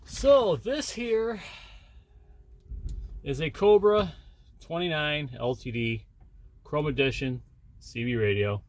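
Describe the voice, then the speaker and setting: A man's voice: on the high side, lively intonation, takes it slowly, pauses often. A man talking in a casual, rambling, plain-spoken way, like a truck driver vlogging from his cab. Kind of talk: casual rambling vlog chat.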